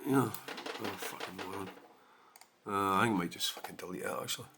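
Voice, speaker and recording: A man's voice: low-pitched, steady tone, fast talker, pushed and bright, slightly rough. A Scottish man hosting his own channel, talking in a blunt, sweary and rambling way in a casual rant. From Scotland. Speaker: channel host.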